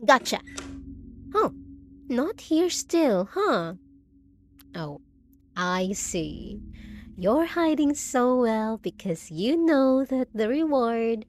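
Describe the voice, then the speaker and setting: A woman's voice: fairly high pitch, exaggerated voice. A woman playing a possessive, unhinged undead bride in a roleplay audio drama, cooing endearments with theatrical, sinister sweetness. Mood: teasing, menacing.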